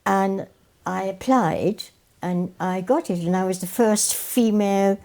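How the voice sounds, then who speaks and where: Older woman, mellow voice. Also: mid-range voice, steady tone, unhurried. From Wales. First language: Welsh. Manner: warm storytelling, repeats phrases for emphasis. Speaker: guest, educator. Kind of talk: reminiscing interview answers.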